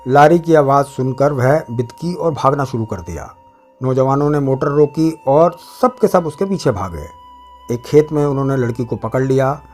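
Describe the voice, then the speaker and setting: A man's voice: medium pitch, lively intonation, normal speed, a little mellow, faint music behind. A man narrating a literary short story in a scripted, dramatic reading style.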